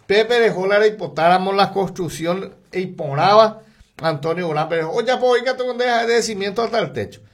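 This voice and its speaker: Gravelly Paraguayan radio announcer, high-pitched man. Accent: Paraguayan Spanish, mixing in Guarani. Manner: rapid, sing-song pitch and loudness swings, listing items. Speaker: radio announcer reading local ads. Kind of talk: live radio ad reading.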